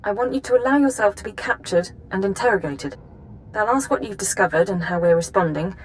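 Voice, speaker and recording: A woman acts out a grave female spymaster from a video game. She speaks at a middling pitch, measured and commanding, resolute in every phrase. A faint low rumble sits under the voice. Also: lively intonation, natural voice, low-quality recording. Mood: grave, urgent. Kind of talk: video game dramatic acting.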